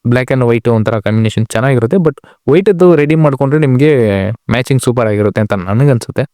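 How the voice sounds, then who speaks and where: A man's voice: medium pitch, a little fast, warm tone, no echo, studio quality. A man giving casual game update commentary as a channel host, talking informally and directly to viewers with English words mixed in.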